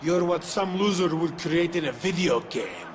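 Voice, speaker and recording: Man playing a high-pitched, boastful wrestling villain. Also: expressive, moderate pace, natural voice. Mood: scornful taunting. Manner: taunting, bragging. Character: boastful, preening villain wrestler in a video game. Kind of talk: video game cutscene acting. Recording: clearly noisy background ambience.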